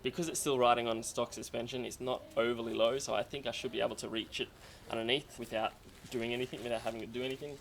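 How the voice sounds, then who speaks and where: A young man with a slightly croaky voice, chatting casually as he explains servicing his project car. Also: mid-range voice, fairly even intonation, quick pace. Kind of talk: casual how-to car vlog. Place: outdoors by a car. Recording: loud outdoor background noise.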